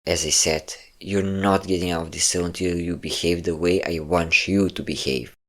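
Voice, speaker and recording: A man playing a possessive, mocking vampire lord in an intimate audio roleplay, with a smug, teasing manner and drawn-out endearments. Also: sits low, steady tone, fairly bright tone.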